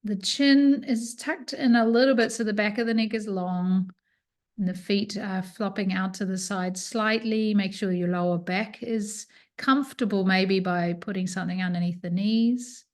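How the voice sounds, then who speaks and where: A woman teaching yoga nidra, leading a guided relaxation with soothing, unhurried instructions and pauses. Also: mid-range voice, steady tone.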